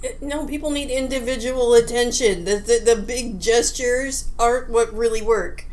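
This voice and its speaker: A woman's voice: a little high, moderate pace, darkish tone. A woman chatting casually and playfully as a co-host of a video game podcast.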